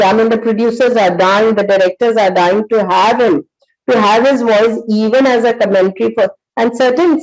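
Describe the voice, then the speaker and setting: A woman in her sixties with an Indian accent, giving a motivational talk as a psychologist. She tells stories earnestly, with many pauses and fillers. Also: a little high, a little mellow, poor audio.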